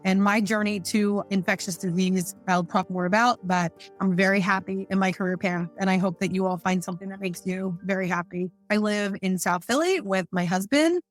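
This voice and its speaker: A woman's voice: medium pitch, normal speed, hardly any pauses, faint music behind. A woman introducing herself as a physician on a panel over a video call, bubbly and enthusiastic. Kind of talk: casual professional talk.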